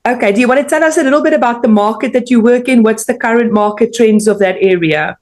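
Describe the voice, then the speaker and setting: A woman's voice: fairly high pitch, fast, darkish tone. Upbeat woman hosting a podcast. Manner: upbeat, encouraging. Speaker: podcast host. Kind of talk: podcast interview.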